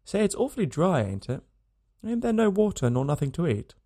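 A small, high, earnest little girl of five, as a male narrator puts her on, guileless and simple in her folksy grammar. Her voice sits well above the reader's own, with a gravelly edge to it. An audiobook voice, plainly childlike. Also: very animated, fairly quick, warm tone, exaggerated voice.

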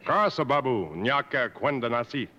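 An actor playing a gruff, wary safari guide, blunt and commanding, in 1950s radio drama style. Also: male voice, mid-range voice, expressive, moderate pace, pushed and bright. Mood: businesslike. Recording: muffled, noisy old radio broadcast.

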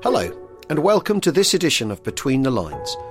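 A man presenting a history podcast, reading scripted narration in a husky voice. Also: on the high side, wildly swooping pitch, brisk, music in the background.

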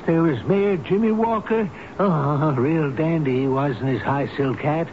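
A man's voice: moderate pace, lightly acted. An actor playing a devoted, sentimental man of seventy in a radio drama, with a folksy, earnest, pleading way of talking. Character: devoted, sentimental seventy-year-old elevator operator, pleading. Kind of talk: 1970s radio drama acting. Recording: muffled old radio broadcast, faint rumbling hiss.

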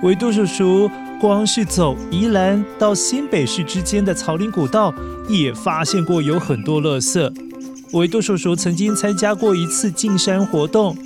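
A man with a Taiwanese accent telling a story expressively, speaking directly to young children. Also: sits high.

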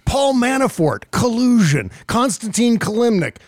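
A man's voice: very high-pitched, very animated, a little slow, no echo, studio quality. An American man hosting political talk, commenting in an emphatic, informal and profane way. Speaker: podcast host. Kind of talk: political commentary with casual chat.

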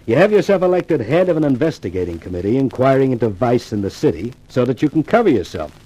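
Wry hardboiled columnist, husky, mellow. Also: male voice, medium pitch, fast talker, low-quality recording. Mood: wary. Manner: deadpan, sardonic wisecracking. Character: wry, world-weary male newspaper columnist, hardboiled narrator. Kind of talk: 1950s radio noir acting.

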